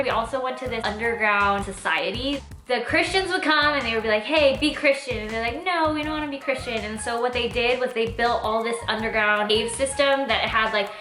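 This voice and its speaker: A woman's voice: a little high, bright, forceful tone. A Californian woman in her twenties chatting casually in a Q&A vlog, informal and emphatic. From Orange County, California. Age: 20s. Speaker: travel vlogger and nurse. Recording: echoey room with faint music.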